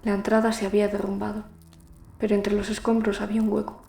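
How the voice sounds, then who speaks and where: A woman's voice: mid-range voice, completely flat delivery, quick pace, gravelly, mellow, roomy sound, slight background noise, natural voice. A young woman playing a lonely, frightened teenage girl who narrates her ordeal in an emotive audio drama. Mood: urgent, afraid. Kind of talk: dramatic first-person audio fiction narration.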